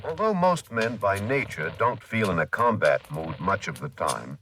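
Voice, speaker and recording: A man reading scripted military history as a radio announcer, measured and emphatic. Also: fairly low pitch, animated, a bit bright, soft background music. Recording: distant, faintly noisy old radio recording.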